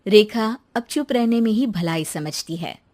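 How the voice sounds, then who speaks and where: A woman's voice: medium pitch, animated, unhurried, a little mellow. A woman storyteller reading scripted narration for a moral story.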